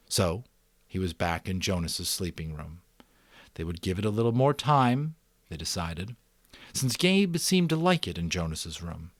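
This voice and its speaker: A middle-aged man who reads and hosts an audiobook in an expressive, earnest way, with casual commentary. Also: fairly low pitch, no room sound, clean studio sound. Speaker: audiobook reader and host. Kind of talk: dramatic book narration with casual commentary.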